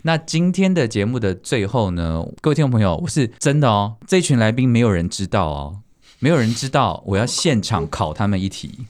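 Animated, low-voiced male podcast host. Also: brisk. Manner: playful, teasing. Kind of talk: casual chat, addressing guests and listeners.

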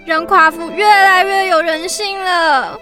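A woman's voice: extremely high pitch, steady tone, unhurried, music in the background. A woman with a Taiwanese accent voicing a cute, gushing, starry-eyed little child in a children's radio drama, sweet and full of exclamations. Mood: gushing wonder.

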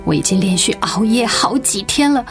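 A woman's voice: mid-range voice, animated, normal speed, music in the background, natural voice. An actress playing a weary, guarded writer and mother with a Taiwanese accent in a radio drama. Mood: weary, reproachful. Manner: tired, guarded, reproachful. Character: weary, resentful overworked writer wife and mother. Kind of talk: Mandarin radio drama acting.

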